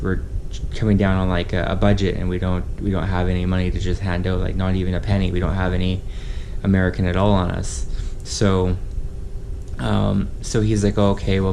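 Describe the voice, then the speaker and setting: Low-voiced Canadian man telling a rambling story. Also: a little mellow, slight background noise. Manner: halting, rambling, often quotes dialogue. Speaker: travel vlogger. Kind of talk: casual anecdote storytelling.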